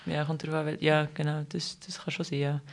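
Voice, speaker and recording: A woman's voice: deep voice, flat delivery, brisk. A young woman from Switzerland with a Swiss German accent, a podcast guest chatting casually, rambling with many restarts and fillers. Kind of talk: casual conversational interview.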